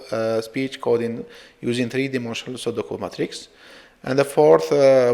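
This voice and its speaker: Male researcher presenting slides; stop-start hesitant delivery, gravelly fry, echoing hall-like sound. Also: medium pitch, a little mellow. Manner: halting, hesitant delivery with frequent repeats. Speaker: researcher presenting own work. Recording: reverberant, hall-like echo.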